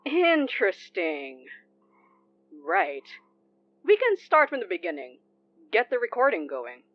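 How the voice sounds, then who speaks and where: A woman's voice: on the high side, huge pitch swings, dry recording, highs cut off, exaggerated voice. A woman playing a polite, composed non-binary late-night radio DJ in an audio drama. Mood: curious, measured. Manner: polite, unhurried. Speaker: radio show host. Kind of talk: conversational audio drama acting.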